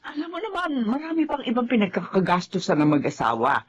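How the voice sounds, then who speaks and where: A woman's voice: mid-range voice, wildly swooping pitch, quick pace, a little hiss or hum. A woman acting a patient, coaxing older mother in a Filipino TV drama, with a Filipino accent. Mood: pleading. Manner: coaxing, apologetic. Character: pleading, patient older mother of grown children. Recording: slightly dull, muffled drama audio.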